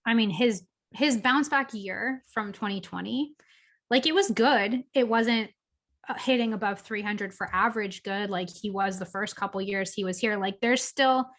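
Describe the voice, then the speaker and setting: A woman's voice: mid-range voice, a little slow, a bit bright. A woman co-hosting a podcast, talking sports in a casual, opinionated way with plenty of filler words. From Boston area. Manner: chatty, opinionated, lots of "like" and "um". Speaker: podcast co-host.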